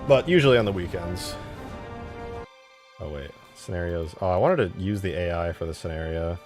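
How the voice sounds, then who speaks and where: Low-voiced male streamer, casual and rambling. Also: animated, moderate pace, over music. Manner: informal, rambling, jokey. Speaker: live streamer and game modder. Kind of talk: casual livestream chat, reading and answering viewer comments.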